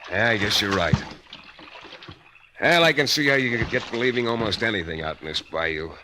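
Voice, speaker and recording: An actor playing a plainspoken, laconic private detective, casual and musing, in 1940s radio drama style. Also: male voice, lowish voice, animated, brisk, lightly acted. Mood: musing, uneasy. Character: plainspoken, uneasy male hardboiled private detective. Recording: noisy vintage radio recording with clicks.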